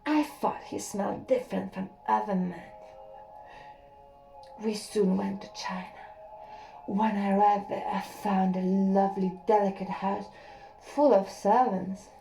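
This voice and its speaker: A woman reading a first-person story aloud as an audiobook, soft and unhurried, sometimes sinking to a near whisper. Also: mid-range voice, little pitch movement, gravelly, soft background music, poor audio. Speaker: audiobook reader.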